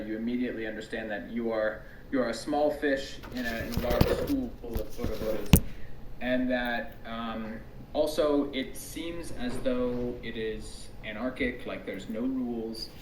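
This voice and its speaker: An American man who grew up in Tanzania, a researcher guest giving relaxed interview answers with frequent ums and restarts. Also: mid-range voice, a little hiss or hum. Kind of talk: casual conversational interview answers. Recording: distant, echoey remote recording.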